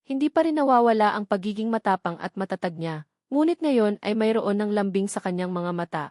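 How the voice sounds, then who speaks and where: A woman narrates a scripted audio drama in a storytelling style. Her voice sits at a middle pitch. The sound is dry, with little room around it. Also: expressive, fairly quick, a little mellow, dry recording.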